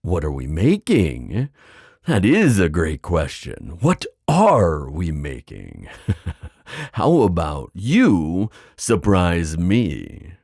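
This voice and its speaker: A man's voice: low-pitched, huge pitch swings, gravelly, a little mellow, no room sound, clean studio sound, heavily acted. A man playing a flirtatious, confident giant sea god in an ASMR roleplay, teasing and theatrical in his delivery. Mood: playful, teasing. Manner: teasing, theatrical, drawn-out phrasing.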